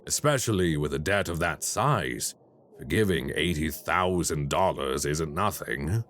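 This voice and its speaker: A man voicing a cold, calculating crime boss in an audio roleplay, speaking in a slow, measured and formally menacing way. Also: extremely low pitch, animated, a bit bright, a little hiss or hum, heavily acted. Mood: probing, cool.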